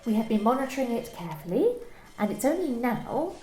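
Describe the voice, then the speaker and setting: A woman's voice: fairly high pitch, very animated, fairly quick, dark tone, reverberant, slight background noise, rough recording. A woman playing a young office worker in an amateur radio play, giving short, reluctant, guarded answers.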